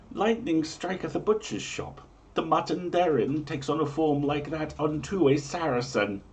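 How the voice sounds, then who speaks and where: A man hosting a livestream, speaking in an emphatic, theatrical way as a reader and presenter. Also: a little high. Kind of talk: dramatic comic reading in mock-medieval English, then casual chat.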